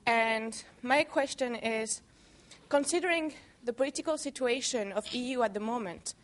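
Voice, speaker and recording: A woman's voice: fairly high pitch, moderate pace. Belgian-accented woman asking from the audience. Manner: careful, searching for words. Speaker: audience member asking a question.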